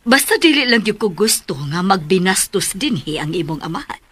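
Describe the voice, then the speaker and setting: A woman's voice: mid-range voice, big pitch swings, brisk, natural voice. An actress playing a firm, worried middle-aged mother in a radio drama, with a Filipino accent.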